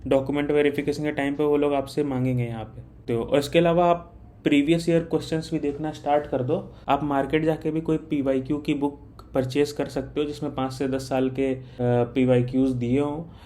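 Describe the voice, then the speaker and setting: Male explainer, slightly high pitch, informal and instructional, frequent English terms mixed in. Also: flat delivery, fairly quick, warm tone. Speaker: exam guidance content creator. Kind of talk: informal explainer of recruitment details.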